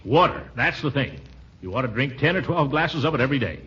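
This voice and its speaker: An actor playing a pompous, flustered middle-aged bachelor uncle in a blustery, hemming 1940s radio comedy style. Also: male voice, mid-range voice, lively intonation, a little fast, fairly bright tone, close to an everyday voice. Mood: pompous, insistent. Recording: muffled, noisy 1940s radio broadcast recording.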